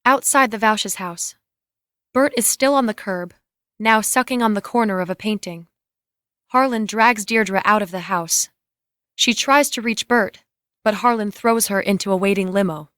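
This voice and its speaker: A woman's voice: medium pitch, moderate pace, a bit bright, dry recording, studio quality. A woman reading scripted, screenplay-style narration and stage directions.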